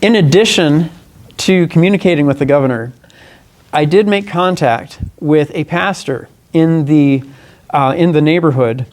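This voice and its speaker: A man delivers a formal statement as a candidate at a press conference. His voice sits a little high for a man. He speaks earnestly and deliberately, sometimes stumbling and correcting himself as he reads or explains. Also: darkish tone. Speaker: congressional candidate. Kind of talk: press conference statement, partly read aloud.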